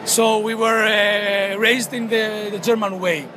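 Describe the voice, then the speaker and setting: A man's voice: high voice, flat delivery, slow pace, noisy background. A man in his thirties from Greece, talking in a casual interview outdoors and hesitating with many fillers and restarts. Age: 30s. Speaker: interviewee, football fan club member.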